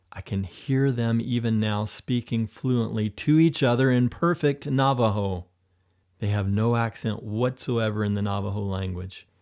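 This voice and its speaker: A man voicing an emotional special agent in an audiobook, tender and frightened by turns, talking higher than his narration. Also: darkish tone. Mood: explaining.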